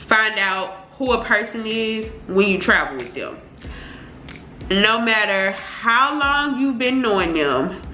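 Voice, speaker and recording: A woman's voice: mid-range voice, pushed and bright, echoey room. A young woman chatting casually to her viewers in informal slang, sounding congested from a cold. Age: young adult. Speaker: beauty vlogger. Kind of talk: casual chatty get-ready-with-me talk.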